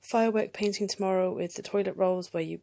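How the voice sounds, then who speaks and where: A woman's voice: medium pitch, steady tone, fast, creaky, warm tone. A young British woman talking in a casual vlog style, rambling a little and hesitating as she goes. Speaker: homeschooling mother and vlogger.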